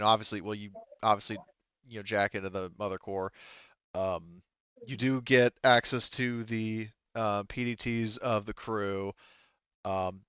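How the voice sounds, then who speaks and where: A man running a tabletop game as game master, giving casual rulings and narration in a halting way, thinking aloud. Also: fairly low pitch, a bit bright, clear, resonant tone, no echo, dull audio.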